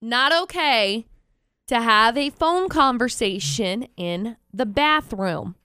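High, bright-voiced woman co-hosting a radio show. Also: animated, slow pace, clear, resonant tone, dry recording, studio quality. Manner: emphatic, repeats points for stress. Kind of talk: casual on-air debate banter.

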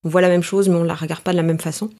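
A woman's voice: on the low side, little pitch movement, very fast, warm tone. A middle-aged woman from Paris, thoughtful and articulate, answering as a guest in a reflective interview. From Paris, France, in a Greek family. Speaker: interview guest, executive coach.

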